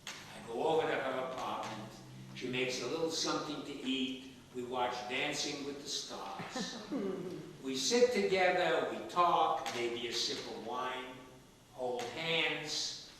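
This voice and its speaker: A man's voice: medium pitch, expressive, takes it slowly, fairly bright tone. An older male actor playing a feisty, wisecracking elderly father in a comedic stage play, emphatic and sardonic. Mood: teasing. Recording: echoey theater stage, distant mic, faint noise.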